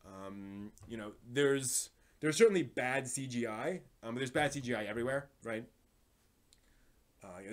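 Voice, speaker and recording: A man hosting a casual live stream about anime, thinking aloud and rambling off on tangents as he chats with viewers. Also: mid-range voice.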